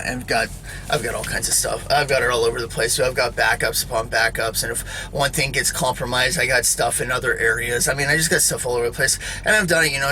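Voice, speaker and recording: A man's voice: medium pitch, bright, forceful tone, a little hiss or hum, low-quality recording. A man giving a casual, rambling spoken sermon, often checking in with "all right?" and "you know".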